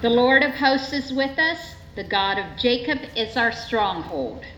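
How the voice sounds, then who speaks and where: A woman gives a formal scripture reading in a measured, solemn manner at a church service. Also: on the high side, lively intonation, a bit bright. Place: indoors in a church. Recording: echoey church, dull sound, faint noise.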